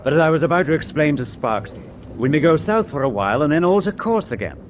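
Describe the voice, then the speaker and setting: An actor playing a knowledgeable British merchant sailor held prisoner in a wartime radio drama, plain and explanatory in manner. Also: male voice, fairly high pitch, expressive, fast talker, slight background noise, natural voice. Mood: explaining, sober. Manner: matter-of-fact, explanatory.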